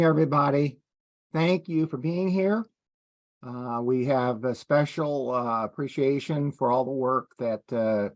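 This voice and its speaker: A man with a mid-pitched voice opens a training session as a district leader. He speaks informally, with halting, hesitant phrasing. The sound is dry, with very little room to it. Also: no room sound. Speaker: Rotary district governor opening a training session. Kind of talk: informal welcome remarks at an online presentation.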